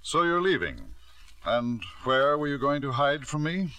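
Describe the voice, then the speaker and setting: A middle-aged knight and husband in a vintage radio play. His voice is bright and forceful, with lively swings of pitch. He is smooth, controlling and self-justifying, speaking in clipped theatrical phrases. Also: unhurried, full character voice, low-quality recording. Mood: cool, challenging. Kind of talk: 1950s radio drama acting with first-person narration. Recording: vintage 1950s radio broadcast.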